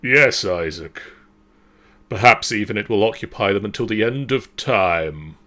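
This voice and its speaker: A man putting on a deep hungry sinister voice. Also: animated, sharp tone. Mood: tempting. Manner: slow, tempting, commanding. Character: deep, powerful, hungry, sinister male inner voice.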